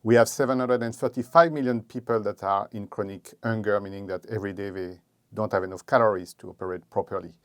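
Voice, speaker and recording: Low-voiced man, a matter-of-fact economist. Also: steady tone, fast talker, no room sound, studio quality. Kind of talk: interview remarks.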